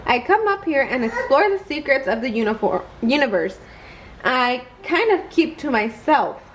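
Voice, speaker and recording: A woman's voice: sits high, sing-song, moderate pace, a little hiss or hum, low-quality recording. A woman voicing a shy, bookish boy space hero in an earnest, modest way for a children's storybook. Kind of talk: children's storybook read-aloud.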